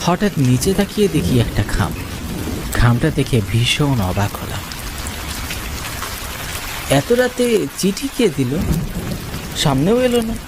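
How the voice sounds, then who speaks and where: A man's voice: on the high side, sing-song, takes it slowly, long pauses. A man playing an earnest, kindly village yogurt seller who narrates his own cartoon story in a suspenseful storytelling manner. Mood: puzzled, suspenseful. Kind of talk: first-person cartoon story narration with dialogue. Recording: loud rain and thunder noise.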